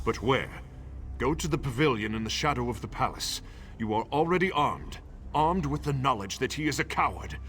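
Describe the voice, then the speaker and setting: An actor playing a shrewd, solemn resistance mentor in a fantasy video game, creaky and deliberate. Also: male voice, medium pitch, a bit bright. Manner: deliberate, theatrical. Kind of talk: video game character acting.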